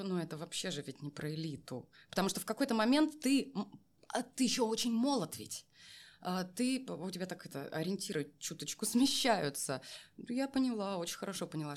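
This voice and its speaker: Female interview guest, low register. Candid, reflective personal storyteller who leans on key phrases. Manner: reflective, emphatic, repeats phrases for weight. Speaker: interview guest, voice artist and former TV and radio presenter.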